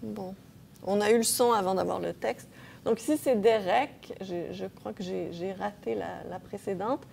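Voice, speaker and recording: A woman's voice: mid-range voice, normal speed, gravelly, noisy background. A woman professor giving an academic lecture, explaining patiently with frequent hesitations and checks of her audience. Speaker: linguistics professor.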